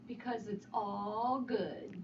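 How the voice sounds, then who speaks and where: A woman reading a picture book aloud to children in an animated, sing-song way, with repeated refrains and questions. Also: mid-range voice, slow. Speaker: storybook reader. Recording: roomy, distant, dull sound with faint noise.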